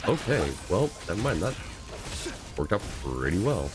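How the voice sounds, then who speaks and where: A man's voice: low-pitched, sing-song, moderate pace. A man livestreaming a game, giving casual commentary and thinking aloud in a hesitant way. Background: game music.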